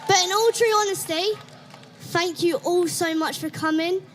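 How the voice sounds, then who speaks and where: A woman's voice: very high-pitched, normal speed, a little hiss or hum. A woman addressing a rally crowd in a bubbly, cheeky manner. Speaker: rally speaker. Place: outdoor street rally.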